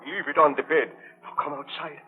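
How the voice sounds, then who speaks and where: An actor playing an obsessive, imperious young baron scientist in a melodramatic 1930s radio drama style. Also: male voice, on the high side, steady tone, a little fast, natural voice. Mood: commanding, urgent. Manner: melodramatic, commanding. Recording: muffled vintage 1930s radio broadcast.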